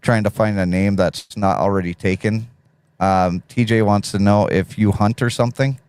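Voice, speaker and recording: A man with a deep radio voice hosting a casual live stream, reading chat messages aloud and chatting easily. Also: fairly even intonation, moderate pace, darkish tone, clean tone, no echo. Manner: laid-back, reads chat messages aloud, laughs often.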